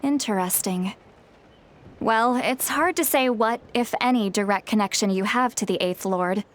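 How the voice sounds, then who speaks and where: A woman's voice: a little high, moderate pace, natural voice. A woman voicing a knowledgeable character who explains ancient lore in a video game, in an explanatory, matter-of-fact way. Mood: explaining.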